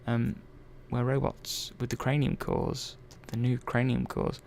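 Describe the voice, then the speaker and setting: A man playing an earnest, hesitant robot repair technician in a soft-spoken ASMR roleplay, halting and trailing off mid-sentence. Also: mid-range voice, little pitch movement, vocal fry, a little hiss or hum. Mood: musing.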